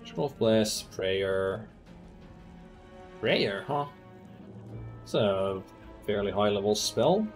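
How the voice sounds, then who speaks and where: A man's voice: on the low side, animated, very slow, pauses often, faint music behind. A man streaming a fantasy roleplaying game who thinks aloud in casual commentary, with many ums as he weighs loot and rules.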